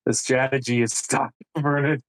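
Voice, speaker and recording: A man chatting casually as a tabletop game player, halting and often giggly. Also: mid-range voice, flat delivery.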